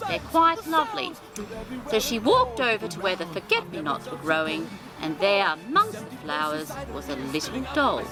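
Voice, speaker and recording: A woman's voice: medium pitch, sing-song, moderate pace, over music. A woman hosting a storytime for small children, speaking in a doting, sing-song, theatrical way.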